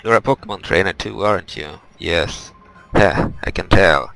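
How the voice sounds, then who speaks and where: A man's voice: deep voice, normal speed, creaky, a bit bright, voice pushed up, rough recording. A man voicing an eager, emphatic male creature trainer from a video game, reading the dialogue aloud. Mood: eager, admiring. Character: eager male Pokémon trainer, admiring, indignant about thieves.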